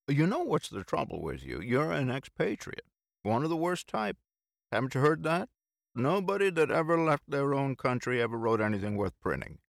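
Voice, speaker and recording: Man performing a boisterous teasing man, audiobook dialogue, mock-preachy, emphatic, animated swooping pitch, gravelly edge. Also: dry recording, studio quality.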